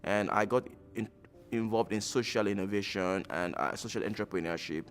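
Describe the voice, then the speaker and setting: Nigerian man, 30s, lowish voice, thoughtful interview subject with pauses, faint music behind. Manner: reflective, with frequent "uh" pauses. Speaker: alumnus interviewee. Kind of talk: documentary interview.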